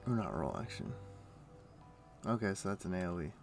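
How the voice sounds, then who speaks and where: A man's voice: low voice, expressive, brisk, vocal fry. A man with a morning voice giving casual live commentary on a game stream, chatting informally to viewers in gamer slang. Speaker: game livestreamer. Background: music.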